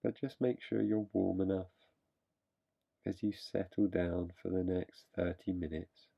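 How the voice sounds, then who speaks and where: A man guiding a relaxation meditation in an unhurried manner, leaving long pauses between phrases. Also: low voice, fairly even intonation, vocal fry, breathy edge, muffled recording. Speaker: meditation guide.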